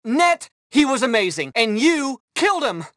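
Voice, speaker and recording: A vain, jittery tech-mogul villain for a video game. His delivery is glib and excitable, with very lively swings of pitch, recorded dry. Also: moderate pace, dry recording, exaggerated voice. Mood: anguished fury. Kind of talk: scripted video game acting.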